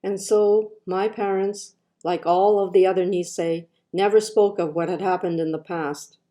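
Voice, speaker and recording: A woman's voice: medium pitch, steady tone, a little slow, dark tone. Older woman reading her personal essay. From Canada. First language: Japanese. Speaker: artist.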